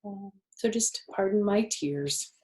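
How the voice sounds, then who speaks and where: An older woman reading aloud to children as a storyteller, gentle and expressive, with friendly asides. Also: medium pitch, slow pace, low-quality recording.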